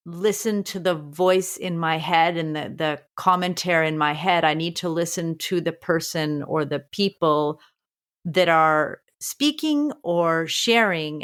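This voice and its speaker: A woman teacher co-hosting a podcast speaks in a low, strong voice. She thinks aloud in an earnest way and often restarts her phrases as she reflects. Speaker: podcast co-host. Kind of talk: reflective casual conversation.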